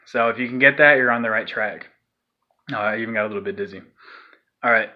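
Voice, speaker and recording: A man's voice: lowish voice, fast, lo-fi sound. A man explaining a vocal technique in a loose, rambling way, with lots of fillers and sighed demonstrations. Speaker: vocal tutorial maker. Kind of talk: casual spoken tutorial.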